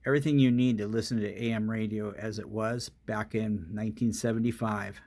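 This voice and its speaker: A man's voice: lowish voice, steady tone, a little fast, a little mellow. A man narrating a casual hands-on electronics demonstration as its host.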